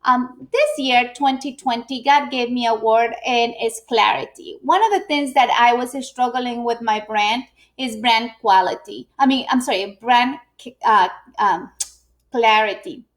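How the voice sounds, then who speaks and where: Middle-aged woman, high voice, chatty live-stream speaker who restarts often. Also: unhurried. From Ecuador. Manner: chatty, frequent fillers and restarts. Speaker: business owner and speaker. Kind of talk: live video presentation.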